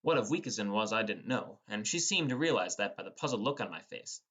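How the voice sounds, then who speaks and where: Male narrator, first-person fantasy audiobook, animated intonation, scripted storytelling. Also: on the low side, brisk, husky.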